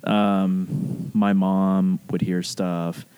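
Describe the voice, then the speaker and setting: A man from the rural American South telling a personal story casually, in animated, rambling speech full of profane slang. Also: sits low, drawn-out words, clear, resonant tone, dry recording. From southern United States, rural Alabama.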